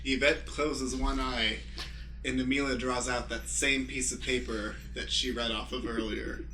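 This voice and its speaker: A man's voice: medium pitch, flat delivery, unhurried, sharp tone, echoey room, faint music behind, poor audio. A man narrating stage directions as the stage manager of an improvised radio play.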